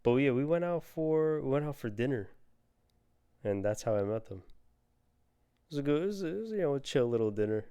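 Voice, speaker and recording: Young male podcast co-host, warm and smooth, loose rambling delivery. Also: mid-range voice, expressive, a little fast, pauses often, dull audio. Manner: loose and rambling, laughs often. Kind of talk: casual chat.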